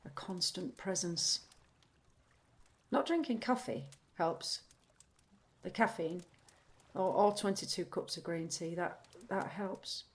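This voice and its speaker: An actress playing a troubled, candid, anxious woman in an audio play, halting as she searches for words. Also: lowish voice. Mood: earnest, hesitant. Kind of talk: audio play acting, confessional monologue.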